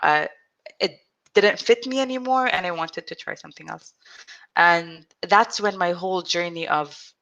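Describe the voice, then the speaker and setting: A woman in her thirties, an interview guest telling her own story in an earnest, reflective way, speaking with a stutter, frequent restarts and pauses. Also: fairly low pitch, gravelly, a bit bright, low-quality recording. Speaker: interview guest, support group founder. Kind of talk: personal storytelling in conversation.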